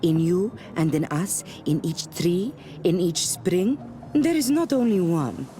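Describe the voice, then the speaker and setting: A mystical clanswoman in a fantasy game. Her voice is deep for a woman, teasing and proud, with formal, stilted phrasing. Music runs clearly behind her. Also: animated, takes it slowly, dark tone. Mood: mysterious. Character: playful, cryptic native clan woman, proud and mystical. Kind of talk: video game character acting. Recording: music under the dialogue.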